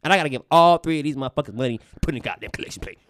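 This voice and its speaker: A man's voice: a little high, rapid-fire, dry recording. A man in his twenties co-hosting a podcast, chatting casually, swearing often and laughing a lot in a small studio. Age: 20s. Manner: animated, profane, laughs a lot. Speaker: podcast co-host. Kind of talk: casual chat. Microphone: studio microphone.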